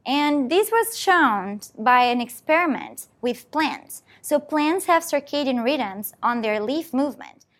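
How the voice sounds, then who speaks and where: Woman researcher, animated and higher-pitched, patient explainer. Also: unhurried, hardly any pauses. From Portugal. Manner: explanatory, walking through an experiment step by step. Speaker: scientist. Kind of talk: presentation talk.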